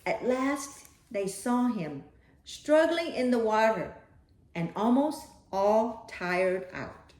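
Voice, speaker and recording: Female storyteller for children's read-aloud: very lively intonation, unhurried, playful and dramatic, recorded in an echoey room. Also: a little high. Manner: animated, dramatic, with playful asides to a young listener. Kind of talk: expressive children's picture book read-aloud.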